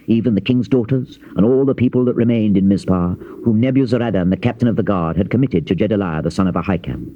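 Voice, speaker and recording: A man giving a solemn, measured, dramatized narration of scripture in archaic King James English. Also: on the low side. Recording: dull, hissy old cassette tape transfer.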